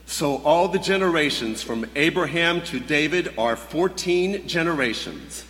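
A man's voice: fairly high pitch, sing-song, unhurried, fairly bright tone. Man reading scripture in reverberant hall. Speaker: scripture reader in church. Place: large church sanctuary. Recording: long church hall reverb.

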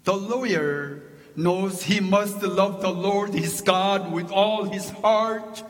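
A man with a Korean accent, whose first language is Korean, preaching a sermon as pastor in a slow, deliberate way, stressing his points through lists. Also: high voice. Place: church sanctuary. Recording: long reverb in a church sanctuary.

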